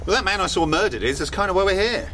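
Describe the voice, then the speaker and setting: A man's voice: high voice, animated, fast, bright, forceful tone, slight background noise. A man playing a glum, complaining young man in a radio comedy. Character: grumpy, downbeat young man forced to relocate. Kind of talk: radio sitcom acting.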